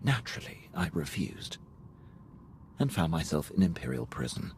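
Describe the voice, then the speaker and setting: An actor playing a formal, deferential and anxious imperial military officer in a video game, with measured speech. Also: male voice, sits low, sing-song, creaky, slight background noise, full character voice. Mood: formal, regretful. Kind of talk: cinematic video game voice acting.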